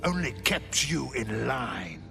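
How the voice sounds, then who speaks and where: A cruel undead jailer from a fantasy game. He croaks and drags out every word at a crawl, his pitch sliding around widely as he gloats with sickly-sweet servility. Dramatic music runs behind him. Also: sing-song, exaggerated voice. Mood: gloating, taunting. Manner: drawn-out, taunting, speaks of himself in third person. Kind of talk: cinematic video game voice acting.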